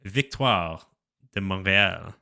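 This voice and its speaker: Bright-toned low man hosting a podcast. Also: slow, creaky. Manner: steers the segments, easygoing, handing out grades. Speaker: podcast host. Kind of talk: casual sports chat.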